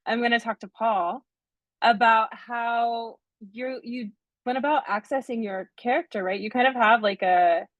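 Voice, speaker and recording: A woman's voice: a little high, measured pace, a bit bright, dry recording, highs cut off. A woman moderating a festival Q&A, chatty and casual, full of "like" and "right?".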